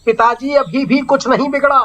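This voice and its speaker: A woman's voice: high voice, normal speed, a bit bright. A woman playing the sensible, earnest child of a poor fisherman in a children's cartoon story, with a pleading manner. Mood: advising.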